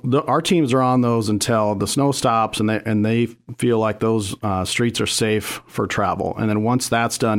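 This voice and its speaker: A man with a somewhat low voice answers a radio host's questions in a studio. He explains city work patiently, with frequent ums and restarts. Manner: explains plainly with many fillers and restarts. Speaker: city street operations official.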